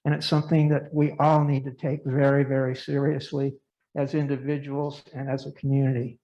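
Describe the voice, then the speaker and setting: A man's voice: mid-range voice, completely flat delivery, fairly quick, dark tone, muffled recording. A congregant man sharing a serious concern in a church service, speaking earnestly and with emphasis. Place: church sanctuary.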